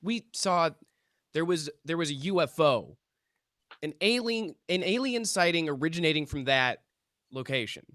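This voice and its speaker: A man's voice: fairly high pitch, lively intonation, normal speed, a bit bright, dry recording, studio quality. A man playing a breezy young stoner who works as an amateur paranormal investigator, offhand yet earnest, in a live table read. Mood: eager.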